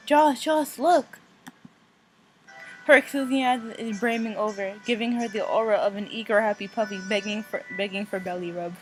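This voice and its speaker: A woman hosting a visual novel let's play, reading aloud with casual, expressive reactions and stumbling over and repeating phrases. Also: medium pitch, fairly quick, few pauses, low-quality recording. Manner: expressive, reacts in outbursts between read passages. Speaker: gaming video host. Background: music.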